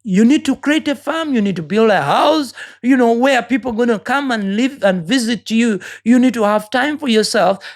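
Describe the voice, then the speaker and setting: High-voiced Ugandan woman giving life advice. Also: animated, normal speed. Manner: earnest, preachy, repeats words while searching. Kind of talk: motivational monologue.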